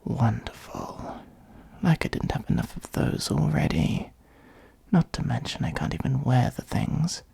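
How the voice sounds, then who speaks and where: A performer of unclear sex voicing an ancient, haughty dragon in a comedic fantasy ASMR roleplay, with a breathy, husky, gravelly voice and a sardonic, condescending, musing manner. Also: medium pitch, big pitch swings, unhurried, dark tone, heavily acted. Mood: absorbed, wry. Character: ancient gold-hoarding dragon, haughty, dryly exasperated.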